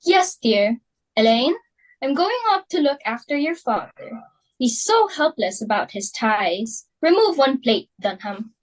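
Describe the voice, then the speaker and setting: A young woman reading the part of an excitable, fashionable society matron hosting a dinner party, fussy and emphatic. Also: high-pitched, full character voice, poor audio. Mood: fussing. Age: young adult. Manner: fussy, emphatic, quick to exclaim. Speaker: reader for Mrs. Pringle. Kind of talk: student dramatic reading.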